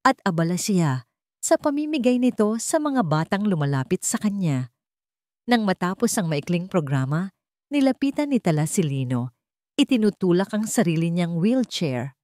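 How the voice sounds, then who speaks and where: Female narrator for dramatic storytelling: lowish pitch, lively intonation, earnest sentiment, dry close sound. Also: moderate pace, dry recording.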